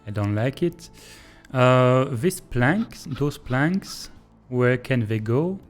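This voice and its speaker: A man with a French accent, a native French speaker, thinking aloud in a hesitant, pause-filled way as he streams and comments on a train-building game. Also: medium pitch, lively intonation, soft background music. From Belgium. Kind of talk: casual live-stream gameplay commentary.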